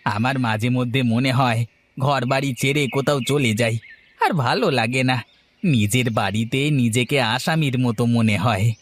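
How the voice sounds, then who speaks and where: A man's voice: mid-range voice, measured pace, close to an everyday voice. A man playing a resentful, complaining young younger son in a fairy-tale cartoon. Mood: aggrieved. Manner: sulky, complaining.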